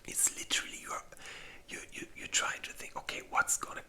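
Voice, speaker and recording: This is an ASMR creator speaking entirely in a breathy whisper with a very bright, airy edge. The delivery is informal and rambling, like a casual chat, with loose, unpolished phrasing. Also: a little fast.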